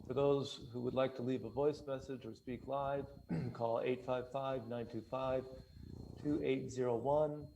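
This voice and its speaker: A man moderating a formal online public meeting as its chair, speaking in a hesitant, halting way. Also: mid-range voice, fairly even intonation, creaky, dark tone, a little hiss or hum, rough recording.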